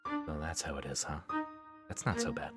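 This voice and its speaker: A man's voice: very deep voice, fairly even intonation, normal speed, loud music behind the voice. A man voicing an anxious, exasperated schoolgirl with an incredulous, insistent, questioning manner. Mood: puzzled.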